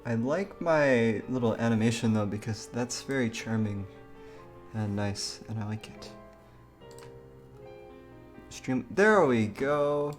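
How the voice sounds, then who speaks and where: Guy livestreaming, slow casual chat, kinda giggly n sidetracked, music behind him. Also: medium pitch, expressive. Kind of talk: casual live stream chat. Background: background music.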